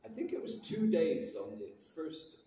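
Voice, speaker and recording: A man's voice: a little high, very animated, a little slow, mellow. A man in his sixties with a British accent tinged with Canadian, a chatty and self-mocking guest actor telling stories on a convention panel. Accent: British with a bit of Canadian. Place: large convention panel hall. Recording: echoey hall from far off with crowd noise.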